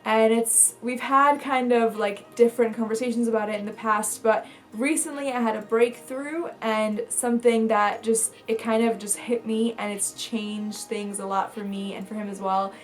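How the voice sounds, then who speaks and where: A woman's voice: a little high, steady tone, moderate pace, few pauses. A young woman from Bulgaria, a lifestyle vlogger talking casually to camera, chatty, with lots of fillers and restarts.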